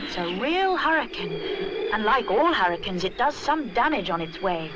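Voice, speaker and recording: A woman's voice: mid-range voice, big pitch swings, moderate pace, muffled recording, heavy background noise. A woman reading a scripted children's story expressively.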